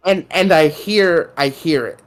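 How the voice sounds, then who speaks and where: A woman's voice: low-pitched, unhurried, soft background music. A woman co-hosting a podcast, opinionated and exasperated, often repeating herself. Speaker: podcast co-host. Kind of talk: casual chat.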